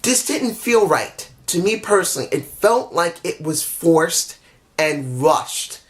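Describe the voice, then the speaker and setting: A man with a somewhat high voice, hoarse from talking, picks apart a wrestling show in a loose, opinionated way. He rambles and restarts his sentences, honest and a bit frustrated. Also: lively intonation, slow pace. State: losing his voice. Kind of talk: casual opinionated review.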